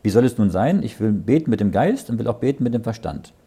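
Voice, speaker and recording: Male Bible teacher, middle-aged, husky and lively, reads verses and explains them, often asks "ja?". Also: medium pitch, fast talker. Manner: reads verses aloud, then explains them in plain words; often checks understanding with "ja?".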